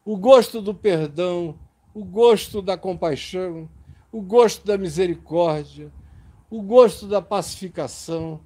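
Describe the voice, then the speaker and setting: A man's voice: sits high, dark tone. A man preaching a sermon in an impassioned, emphatic way.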